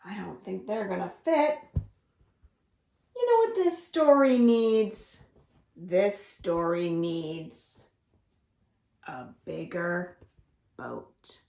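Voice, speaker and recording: A woman hosting a children's storytime from home, reading aloud expressively with deliberate, dramatic emphasis for young listeners. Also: fairly low pitch, sing-song, vocal fry, dark tone. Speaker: library storytime host. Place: indoors at home. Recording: roomy, echoing home recording.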